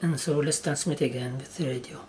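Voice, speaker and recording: A man giving a casual, halting step-by-step demonstration of equipment in short phrases, as a hobbyist. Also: a little high, steady tone, a little mellow. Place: indoor room with wooden surface. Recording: noisy handheld camera recording indoors.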